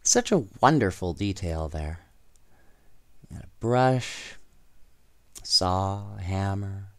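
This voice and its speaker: Slow, very expressive man reading a bedtime story. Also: deep voice, no room sound, studio quality. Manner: expressive, unhurried. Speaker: storybook reader.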